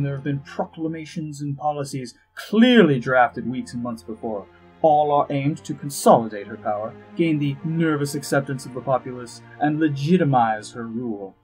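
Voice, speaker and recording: A man playing a suave, haughty elf diplomat in a fantasy audio drama, with measured, arch, dryly witty delivery. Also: medium pitch, a little mellow, natural voice, poor audio. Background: background music.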